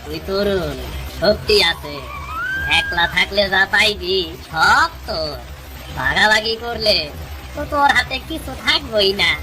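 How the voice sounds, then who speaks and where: A woman's voice: mid-range voice, expressive, measured pace, music in the background, natural voice, low-quality recording. Woman as a sly, goading Bengali village fisherman. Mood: insinuating. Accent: rural Bangladeshi Bengali. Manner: insinuating, goading. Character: sly, greedy fisherman goading a younger man. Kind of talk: cartoon story dialogue.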